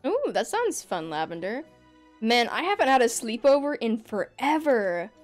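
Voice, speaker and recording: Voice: mid-range voice, very animated, normal speed, faint music behind. A young adult streamer chatting casually over gameplay in their bedroom, rambling and laughing often. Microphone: desk microphone.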